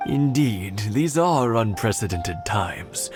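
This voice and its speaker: A kindly old gentleman from a video game. His slow speech rises and falls expressively, gracious and gentle, with clear background music underneath. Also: lowish voice, animated. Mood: gracious, warm. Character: kindly, courteous elderly gentleman.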